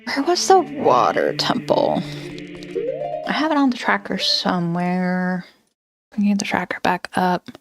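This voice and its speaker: A woman's voice: fairly low pitch, sing-song, measured pace, creaky, soft background music. A woman streaming a video game from home, chatting casually and thinking aloud with lots of fillers. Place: home, indoors.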